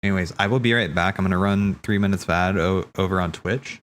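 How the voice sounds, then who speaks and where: A man's voice: deep voice, fast, fairly bright tone. A man hosting a livestream, talking in a casual, slangy, rambling way as he thinks aloud. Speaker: livestream host. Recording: background music.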